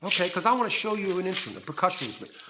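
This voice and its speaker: Playful, animated man teaching kids music. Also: very fast, noisy background. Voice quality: low bass speaking voice. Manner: animated, silly, keeps checking in with "right?". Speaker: children's music educator.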